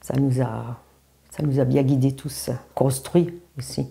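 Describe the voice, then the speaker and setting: A woman's voice: very deep voice, steady tone, unhurried, vocal fry, dark tone. A woman in a documentary interview, speaking proudly and reflectively about her family.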